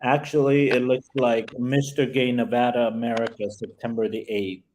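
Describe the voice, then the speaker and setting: A man's voice: mid-range voice, flat delivery, moderate pace, darkish tone, poor audio. A man hosting a panel discussion over a video call, steering the talk while rambling through details with frequent ums and restarts. Faint background hiss sits under his voice. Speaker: host. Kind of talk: casual panel chat.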